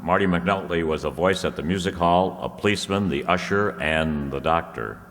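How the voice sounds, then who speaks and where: A man playing a vintage radio announcer, reading scripted copy in a measured, formal manner. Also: deep voice, fairly bright tone. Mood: formal, genial. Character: smooth 1930s radio announcer selling soap. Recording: echoey hall, noisy audience room.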